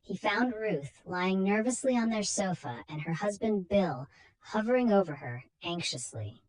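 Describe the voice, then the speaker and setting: A woman's voice: medium pitch, lively intonation, a little slow, a little mellow, low-quality recording. A woman narrating a short story in a dramatic storytelling style.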